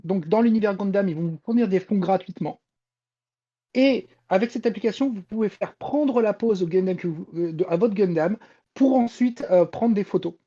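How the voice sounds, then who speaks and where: Mellow-voiced Frenchwoman, slightly muffled recording. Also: medium pitch, moderate pace. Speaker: podcast panelist and reporter on Japanese pop culture. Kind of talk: informal panel talk, explaining enthusiastically.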